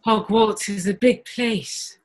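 A woman's voice: mid-range voice, fairly even intonation, slow pace, slight background noise, natural voice. An actress playing a sensible, affectionate witch mother with a wry, composed manner, in a stage play table read over video call. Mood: fond, brisk. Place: living room at home, video call, webcam.

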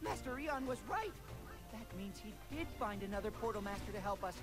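A small bookish critter in a cartoon fantasy game, high-pitched and fussy. His anxious, excitable delivery leaps up and down over prominent music. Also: brisk. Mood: excited relief. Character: small nervous bookish mole-like creature, fussy assistant. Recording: game audio with loud music.

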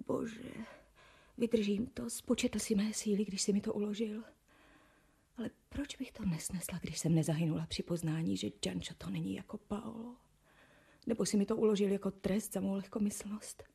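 An actress playing a young noble bride in a radio drama, swinging between loud pleading outbursts and whispered brooding. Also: female voice, fairly high pitch, pauses often, husky. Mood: distraught.

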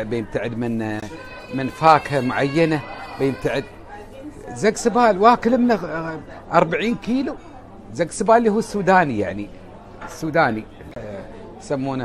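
Chatty Emirati man vlogging on his phone. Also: on the high side. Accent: Emirati Arabic. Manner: chatty, animated, rising to emphatic outbursts. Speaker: social media vlogger. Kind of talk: casual selfie vlog chat. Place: indoors by a window, hotel room. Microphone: wired earphone mic, phone at arm's length.

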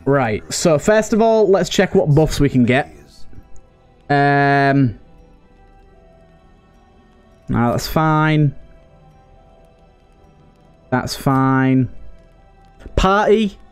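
A man plays and commentates a video game in a casual, conversational way. His voice sits on the higher side and moves widely in pitch as he talks to his characters, with game music underneath. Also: expressive, extremely slow, pauses often, smooth. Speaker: gaming video host and player. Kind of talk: casual let's play commentary.

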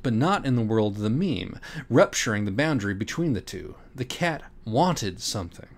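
A man reading a parody audiobook in a dramatic, deliberate, mock-earnest way. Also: medium pitch. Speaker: audiobook reader. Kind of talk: dramatic scripted narration of a parody children's book.

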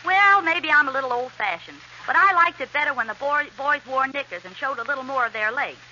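Brusque breadwinner wife for 1930s radio comedy; high-pitched, animated, faint hiss behind. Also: female voice, brisk, heavily acted. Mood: indulgent, teasing. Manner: brusque, commanding, condescending. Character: bossy breadwinning wife in a role-reversal sketch. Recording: dull, hissy 1930s radio recording.

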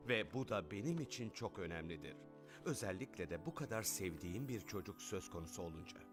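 A man's voice: low voice, lively intonation, fairly quick, music in the background. A man voicing a kind, principled, dignified wealthy father in a dubbed children's cartoon. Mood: stern.

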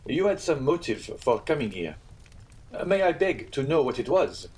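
A man reads the part of a reasonable, courteous gentleman in a storybook. He sounds polite and measured, with varied intonation, a middling pitch and a faint rasp. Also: very animated. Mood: curious. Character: courteous, reasonable gentleman, calm and quietly probing.

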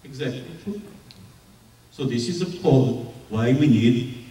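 Man, Israeli accent, slide lecture, dark tone, echoey hall, recorded from far off. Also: mid-range voice, slow. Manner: animated, mocking rhetorical questions. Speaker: presenter. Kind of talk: slide lecture at a public forum.

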